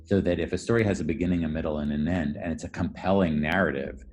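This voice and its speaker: A man's voice: deep voice, steady tone, quick pace, a little mellow, soft background music. A man speaking as an academic interviewee, explaining ideas in a thoughtful, articulate way and rephrasing as he goes. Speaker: academic interviewee, architectural historian and professor.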